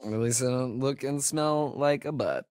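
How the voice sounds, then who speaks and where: An actor playing a wisecracking, jittery young American camp counselor in a horror video game, with slangy, hesitant, sarcastic delivery. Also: male voice, mid-range voice, moderate pace, a little mellow, clean tone, dry recording, studio quality, lightly acted. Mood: teasing. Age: young adult. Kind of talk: naturalistic horror game acting.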